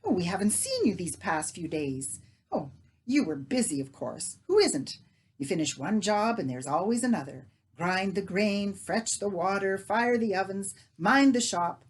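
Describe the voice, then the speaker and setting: A woman voicing a fussing, talkative middle-aged baker's wife who rattles on in a shrill, bustling way. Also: big pitch swings, a little slow, breathy edge. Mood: chattering.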